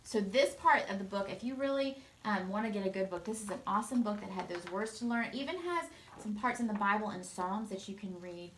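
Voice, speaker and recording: A woman reading a storybook aloud and explaining it to children in an expressive, gentle way. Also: mid-range voice, fairly quick, few pauses, a little hiss or hum.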